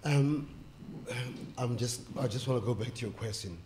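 An older man, a guest author on a stage panel, speaking haltingly with many fillers into a stand mic. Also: mid-range voice, darkish tone, a little hiss or hum. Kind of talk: panel discussion. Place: stage of a small indoor venue.

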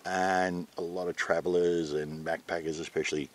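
Deep-voiced older Australian man, rambling. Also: flat delivery. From New South Wales, Australia. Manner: rambling, halting, plain-spoken. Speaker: retreat owner being interviewed. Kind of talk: casual interview chat. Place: outdoors at a garden table in bushland.

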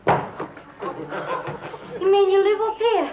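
A woman's voice: very high-pitched, little pitch movement, slow, a loud crowd. An actress playing a blunt, cheeky young woman, dry and sarcastic, in a 1970s sitcom. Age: young adult. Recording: hissy old TV soundtrack.

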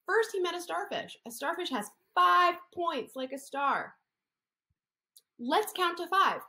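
Lively woman therapist reading to kids. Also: very high-pitched, measured pace. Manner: animated, sing-song, coaxing children to copy hand gestures. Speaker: occupational therapist. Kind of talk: reading a picture story aloud to young children. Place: indoor room.

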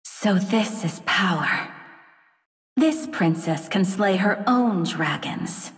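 Slow, echoing vengeful dark fairytale queen. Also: mid-range voice, animated. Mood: brooding, proud. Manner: haughty, measured, theatrical. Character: vengeful dark fairytale princess turned imperious sorceress queen. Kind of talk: video game character voice lines. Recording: long reverb, distant from the mic.

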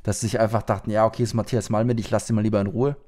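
A man's voice: on the low side, flat delivery, racing, dark tone, slight background noise. Male streamer, slangy casual commentary to chat, studio mic, home gaming room. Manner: slangy, addresses the chat directly. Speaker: streamer reacting to a video. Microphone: studio mic on an arm with headset.

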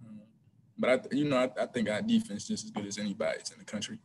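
A young man, a college basketball player, answering press questions after a game over a video call, relaxed and hesitant with many ums and restarts. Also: fairly low pitch, quick pace, a little hiss or hum. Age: young adult. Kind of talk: postgame press conference answers. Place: small room before a team backdrop. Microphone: video call webcam.

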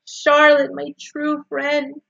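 Woman voicing a frantic, high-pitched young pig. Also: completely flat delivery, takes it slowly, gravelly, a bit bright, no echo, muffled recording, heavily acted. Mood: anguished. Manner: crying out, pleading. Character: young male pig, frantic, emotional, devoted to his friend.

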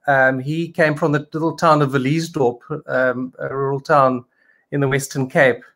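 A man in his forties, a wine producer answering interview questions over a video call, friendly and halting with many ums. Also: mid-range voice, level tone, a bit bright, slight background noise. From South Africa. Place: home study with bookshelves.

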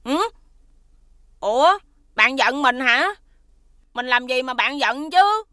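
A woman voicing a mischievous, feisty but loyal young schoolboy in an animated children's cartoon style. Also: high-pitched, takes it slowly, no room sound, clean studio sound, exaggerated voice. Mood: friendly, puzzled. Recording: music behind the voice.

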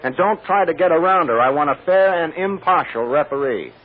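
An actor playing a playful, authoritative defense lawyer and boss in an old-time radio drama, with mock-stern banter. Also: male voice, fairly high pitch, lively intonation, brisk, a little hiss or hum, natural voice. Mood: confiding. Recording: dull lo-fi old radio recording.

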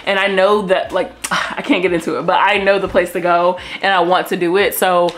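A woman's voice: on the low side, little pitch movement, a little fast, fairly bright tone, slight background noise. A young woman in her twenties vlogging casually to camera from a hotel room, chatty and full of fillers, calling her viewers y'all. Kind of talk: casual haul chat to camera.

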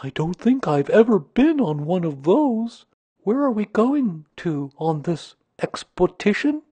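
A man's voice: very high voice, sing-song, a little slow, mellow, breathy edge, dry recording, voice pushed up, exaggerated voice. A man voicing a naive, eager, kindly toy bear in a children's storybook reading, with simple, bouncy delivery. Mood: curious, eager.

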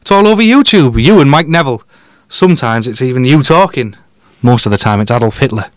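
A man's voice: medium pitch, animated, a little fast, no room sound, close to an everyday voice. A man playing a cocky, sardonic detective in an audio drama, blunt and drily sarcastic, with a Teesside accent. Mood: aggrieved. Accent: Teesside English. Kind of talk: audio drama staged as a podcast.

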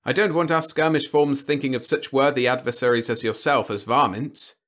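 A man voicing a cool, sly diplomat in an audiobook, speaking in a measured, dryly formal way. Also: mid-range voice, steady tone, fairly bright tone, pitched above the performer's own voice, natural voice. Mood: dryly courteous. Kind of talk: audiobook character dialogue.

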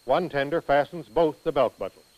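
An American man reading scripted instructional narration for a training film in a precise, measured manner. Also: fairly high pitch, lo-fi sound.

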